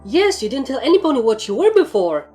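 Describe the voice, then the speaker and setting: An eager, excitable female pony scholar from a cartoon fan story, put on by a woman. She sounds earnest and full of questions. Music sits behind the voice. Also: high-pitched, brisk, pitched above the performer's own voice. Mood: shrieking excitement.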